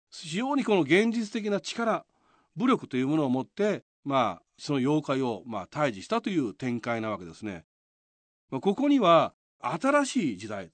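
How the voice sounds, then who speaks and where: A man's voice: a little high, no echo. A man presenting and explaining a tale in polite radio commentary style.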